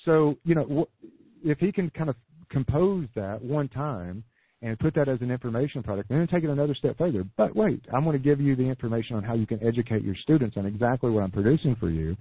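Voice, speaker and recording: A man coaching entrepreneurs in a podcast interview, losing his voice, talking in an energetic sales pitch with many restarts. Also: fast, slightly rough, no echo. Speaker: business coach guest. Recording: phone-like lo-fi dry audio.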